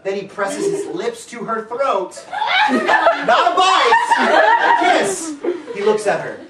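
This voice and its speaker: A woman's voice: high-pitched, wildly swooping pitch, slow pace, heavy background noise. A woman theatrically narrating stage directions in a comic script reading, breaking off into playful asides. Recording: echoey room, far-off camera mic, crowd.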